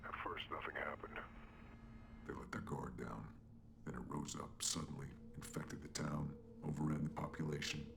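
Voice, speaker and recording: An actor playing a secretive, urgent male informant in a game cutscene, speaking in a deep, gravelly, hushed voice. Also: little pitch movement, normal speed, noisy background.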